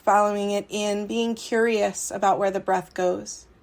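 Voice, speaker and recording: A woman leading a guided relaxation meditation, speaking soothingly and unhurriedly in short phrases with long pauses. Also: medium pitch, fairly even intonation, vocal fry. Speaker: meditation guide, yoga teacher. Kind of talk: guided yoga nidra meditation.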